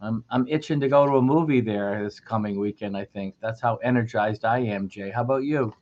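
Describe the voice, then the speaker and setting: Brief: male radio co-host, low voice, upbeat, muffled lo-fi sound. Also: a little fast, darkish tone. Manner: enthusiastic, repeats words when starting. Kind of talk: radio interview. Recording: dull lo-fi radio audio.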